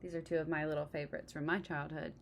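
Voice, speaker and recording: A slightly low-voiced woman reads a picture book to children. She is expressive and often steps out of the text to chat and ask questions. There is faint noise behind her. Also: fast talker, gravelly. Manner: expressive, explanatory, checks in with listeners. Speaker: read-aloud storyteller. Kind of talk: picture-book read-aloud with asides to young listeners.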